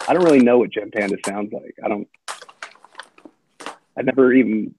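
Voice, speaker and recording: A man's voice: on the low side, moderate pace, dark tone, slight background noise. A male host chatting loosely on a livestream, rambling and joking. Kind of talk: casual livestream chat. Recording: tinny, dry phone line.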